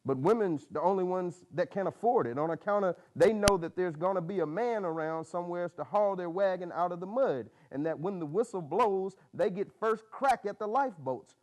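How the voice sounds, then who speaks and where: Man acting blunt old country mentor, voice pitched up. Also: animated, moderate pace, few pauses, a little mellow. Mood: preaching, blunt. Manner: folksy, plain-spoken, colloquial. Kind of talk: dramatic literary reading.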